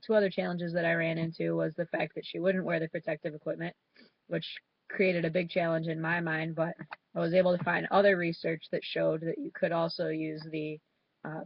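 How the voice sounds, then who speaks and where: A woman's voice: lowish voice, vocal fry, dry recording, muffled recording. A young woman with a head cold and a stuffy nose, informally presenting a student project report with some hesitant fillers.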